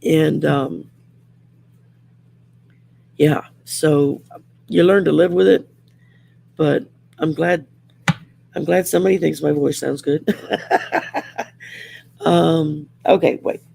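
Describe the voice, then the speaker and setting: A middle-aged woman with a growly, gravelly voice from throat surgery, chatting casually on a livestream while pulling tarot cards, rambling and restarting often. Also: sits low, steady tone, slow, long pauses, warm tone, a little hiss or hum. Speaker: host and tarot card reader. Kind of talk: casual livestream chat with card reading.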